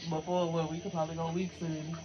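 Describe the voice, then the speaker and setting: A woman's voice: low-pitched, little pitch movement, fast, muffled recording. Chatty woman, giggly and heartfelt. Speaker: podcast co-host. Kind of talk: casual chat between sisters.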